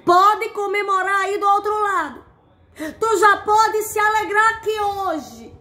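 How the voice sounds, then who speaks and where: A woman's voice: extremely high pitch, lively intonation, slow pace, pushed and bright. A woman in her thirties delivering a fervent prophetic word, recorded on a phone at arm's length in a small room. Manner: fervent, repetitive, exclaims "eita Deus", gestures a lot. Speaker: prophetic preacher. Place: small indoor room, plain wall. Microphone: phone camera at arm's length.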